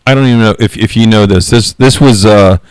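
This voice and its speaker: Loud low-voiced guy on a podcast, blunt opinions, dry mic. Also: a little fast, smooth, no echo. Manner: frank, opinionated, self-correcting. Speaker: podcast host.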